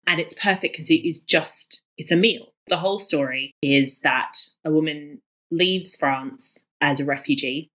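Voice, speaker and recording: Woman, thirties, low pitch, enthusiastic guest, conversational, emphatic, repeats words for emphasis. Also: unhurried, a bit bright. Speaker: guest, cookbook author.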